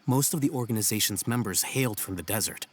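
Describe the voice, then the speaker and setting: A composed game scholar, lowish voice, dry n matter-of-fact, explains things formally. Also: male voice, animated, fast. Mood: explanatory, dry. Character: composed, aloof male scholar, dry and analytical. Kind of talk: video game voice acting, expository dialogue. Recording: music behind the voice. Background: game music.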